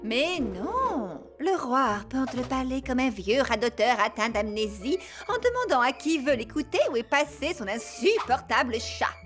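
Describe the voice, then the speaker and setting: A scheming cartoon queen, commanding and scornful. Her voice is fairly high and animated, with music in the background. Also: moderate pace, few pauses. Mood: impatient, conniving. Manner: commanding, impatient. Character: scheming, bossy adult queen, curt and scornful. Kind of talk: French animated film dubbing.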